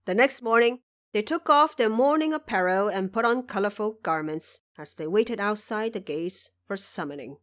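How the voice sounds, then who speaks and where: A woman's voice: mid-range voice, lively intonation, moderate pace, a bit bright. A woman narrating a scripted fantasy audio drama as a storyteller.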